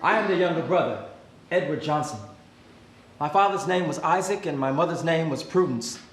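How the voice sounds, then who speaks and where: A man's voice: on the high side, normal speed, lightly acted. An actor playing a formerly enslaved younger brother seeking his lost siblings, in a dramatic stage reading. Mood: earnest. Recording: echoey hall.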